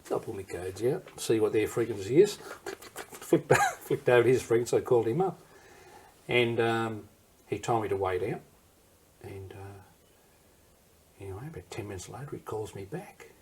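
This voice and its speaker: A man's voice: lowish voice, animated, moderate pace, a little mellow, a little hiss or hum. An older man with an Australian accent telling war memories in an interview, in a rambling, anecdotal way that wanders off and circles back. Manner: rambling anecdotal, digresses and restarts. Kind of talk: recorded interview storytelling.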